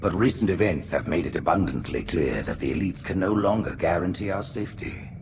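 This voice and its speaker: A creaky, haughty alien high priest. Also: male voice, animated, a little fast, noisy background. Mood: imperious. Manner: imperious, disdainful, formal. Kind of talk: video game cinematic acting. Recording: music clearly behind.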